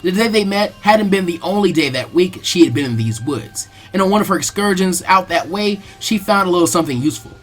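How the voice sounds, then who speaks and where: A man's voice: high voice, expressive, fairly quick, hardly any pauses, husky, soft background music. A man giving a scripted, dramatic narration as the reader of an adventure story.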